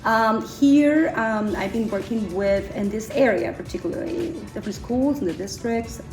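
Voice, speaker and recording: Lively woman teacher from Argentina. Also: fairly high pitch, normal speed. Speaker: Spanish teacher. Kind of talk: interview clip. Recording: echoey room with music bed.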